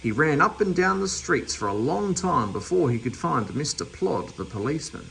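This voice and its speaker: A man's voice: a little high, sing-song, normal speed, creaky, fairly bright tone, a little hiss or hum, rough recording. A man reading a children's story aloud as narrator. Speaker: storybook reader.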